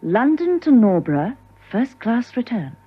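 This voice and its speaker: An actress playing a brisk, sharp-witted woman secret agent in a classic spy radio drama, teasing and confident. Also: on the high side, sing-song, slightly rough, heavily acted. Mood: keen, deducing. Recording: muffled old radio broadcast recording.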